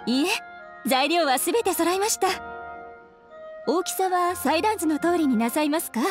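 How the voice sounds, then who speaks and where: A woman's voice: very high-pitched, moderate pace, soft background music. A woman playing a kimono shopkeeper in an anime game, polite and practical in her service speech. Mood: helpful, businesslike.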